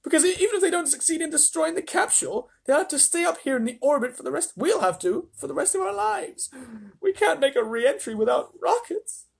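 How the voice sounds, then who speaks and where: A man voicing panicking astronauts shouting desperately for help over the radio. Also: extremely high pitch, expressive, a little fast, creaky, hardly any pauses, voice pushed up, full character voice.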